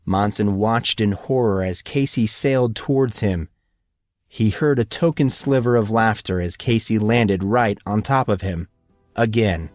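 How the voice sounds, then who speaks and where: A man's voice: fairly low pitch, measured pace, a little mellow, no echo, clean studio sound. A man reading scripted fiction as an audiobook narrator.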